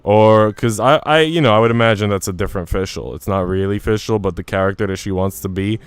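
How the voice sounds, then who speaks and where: A man's voice: lowish voice, brisk, clean tone, no echo. A man livestreaming, chatting casually and informally with his viewers. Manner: informal, chatty, mildly profane. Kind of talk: casual chat and reading a visual novel aloud. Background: soft music.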